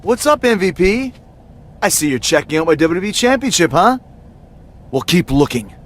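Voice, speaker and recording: Cocky, higher-pitched male wrestler. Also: sing-song, normal speed, slight background noise. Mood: boastful. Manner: smug, sarcastic. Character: cocky, self-entitled male pro wrestler, scheming and sarcastic. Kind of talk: scripted video game cutscene acting.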